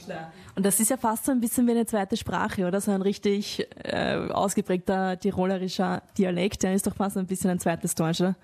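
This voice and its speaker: A woman's voice: mid-range voice, fairly even intonation, fairly quick, darkish tone. A woman interviewing a guest, asking friendly, probing questions and often ending with a tag question. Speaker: interviewer, reporter.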